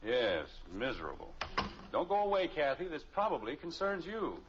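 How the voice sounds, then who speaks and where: A man's voice: fairly high pitch, wildly swooping pitch, normal speed. A man playing an exasperated, easily flustered middle-aged suburban father in 1950s radio comedy style, sputtering and sarcastic. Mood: wary, weary. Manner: sputtering, sarcastic, long-suffering. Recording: muffled old radio recording, faint hiss.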